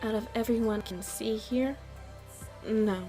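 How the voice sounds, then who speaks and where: Woman playing a haughty, high-voiced mafia businesswoman. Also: fairly even intonation, warm tone, soft background music. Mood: confident, scheming. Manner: haughty, taunting, deliberate. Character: shrewd proud nightclub headliner and businesswoman in the mafia. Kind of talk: ASMR roleplay audio drama.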